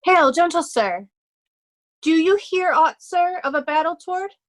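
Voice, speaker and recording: A woman playing a loyal young nobleman disguised as a humble poor man, earnest and measured, in readers' theatre Shakespeare. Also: extremely high pitch, lively intonation.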